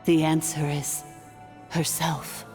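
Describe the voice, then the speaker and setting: A woman playing a calm, imperious ancient dragon-born artificial intelligence in a video game, speaking formally and with measured stateliness. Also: low voice. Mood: commanding, composed. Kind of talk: scripted game dialogue, solemn exposition. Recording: long hall-like reverb, music underneath.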